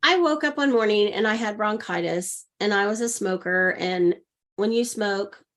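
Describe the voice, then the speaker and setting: Woman about 60 on a podcast, lively storyteller, does the voices when she quotes people. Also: mid-range voice, normal speed. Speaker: guest, intuitive leadership coach.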